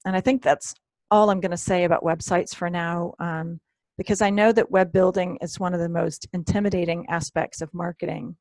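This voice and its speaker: A woman presenting an online workshop, hoarse and losing her voice, often clearing her throat and apologizing. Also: on the low side, fairly even intonation, fairly quick, a little mellow, no room sound. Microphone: computer mic on a video call.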